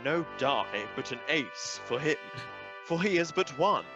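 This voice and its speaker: A man's voice: on the high side, lively intonation, a little slow. Radio Shakespeare: quipping young courtier, male actor, medium voice, dull recording, music underneath. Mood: mocking. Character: mocking young courtier heckling a play.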